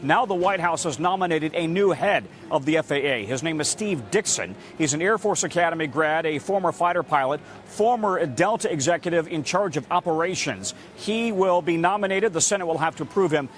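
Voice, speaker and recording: A man's voice: a little high, animated, brisk, hardly any pauses, a little hiss or hum. An American man working as a news correspondent, giving an emphatic, dramatic broadcast report.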